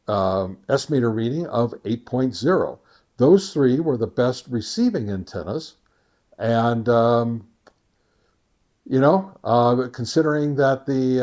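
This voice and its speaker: A man presents test results as a reviewer in a casual, conversational style. He speaks very slowly at a middling pitch, often halting to think aloud. Folksy asides break up his delivery. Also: a little mellow. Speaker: amateur radio gear reviewer and host. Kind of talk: casual product test summary.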